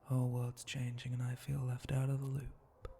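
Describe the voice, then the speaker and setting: A man playing a suave, teasing spider monster bartender in an intimate ASMR roleplay, breathy and often whispering close to the microphone. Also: medium pitch, monotone, a little hiss or hum, close to an everyday voice. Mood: wistful. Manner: slow, seductive, teasing drawl with soft chuckles.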